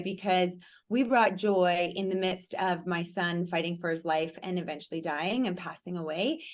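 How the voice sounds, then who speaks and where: A woman with a raspy, hoarse voice, telling her story openly and with energy as a guest in a conversation. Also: mid-range voice. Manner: energetic, candid, lively storytelling. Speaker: podcast guest, speaker and coach. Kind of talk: interview conversation.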